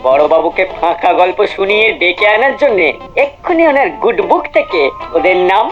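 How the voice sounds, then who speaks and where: Smug young cartoon plotter played by an actor, mid-pitched, swooping and boastful, music behind. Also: sing-song, a little fast, highs cut off, natural voice. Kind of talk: cartoon voice acting.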